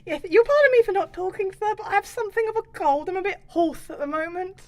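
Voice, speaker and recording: A woman playing a huge male cart driver with an incongruously meek voice, quick, soft and deferential, in a comic drama. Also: very high voice, expressive. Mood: meek, polite. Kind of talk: comic gothic stage drama.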